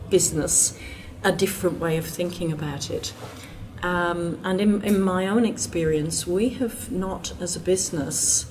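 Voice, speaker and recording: A woman's voice: on the low side, noisy background. A middle-aged woman with an English accent, a panel guest speaking earnestly with hesitant restarts, in a conference room before an audience. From England. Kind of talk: panel discussion.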